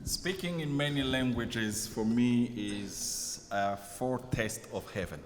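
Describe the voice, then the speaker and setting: Slow-speaking preacher, Kikongo native, in a reverberant hall. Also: male voice, medium pitch, a little hiss or hum. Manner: slow, measured phrases paused for translation. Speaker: guest preacher. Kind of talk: sermon delivered in English with live interpreter. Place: church sanctuary. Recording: long church hall reverb.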